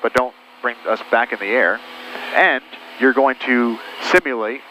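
A man's voice: mid-range voice, measured pace, sharp tone, slight background noise, rough recording. A man working as a flight instructor, giving short repeated commands and encouragement over cockpit intercom audio.

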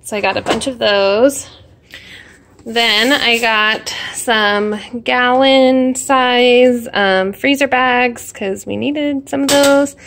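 A woman's voice: a little high, slow, fairly bright tone. A young woman chatting casually at home in her kitchen, rambling with lots of fillers and self-deprecating asides while filming on a phone. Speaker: vlogger. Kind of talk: casual grocery haul chat.

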